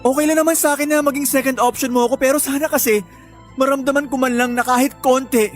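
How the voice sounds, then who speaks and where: Guilty, pleading Filipino boyfriend. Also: male voice, very high-pitched, fairly even intonation, fast, soft background music. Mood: apologetic. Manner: earnest, pleading, explaining. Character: guilty, apologetic young boyfriend, weary and self-justifying. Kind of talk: Filipino radio drama acting.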